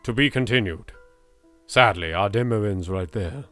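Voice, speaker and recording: A man's voice: fairly low pitch, normal speed, a bit bright, soft background music. A man with an English accent hosting a let's play, reading aloud dramatically and commenting in a theatrical, exasperated, sweary way. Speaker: gaming video host.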